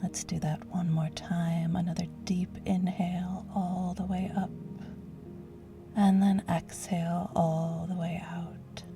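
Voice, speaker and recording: Slow, hushed woman guiding relaxation. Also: fairly low pitch, little pitch movement, music in the background. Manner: soothing, gentle, breathy hushed delivery. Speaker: guided meditation teacher. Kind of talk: guided yoga nidra relaxation.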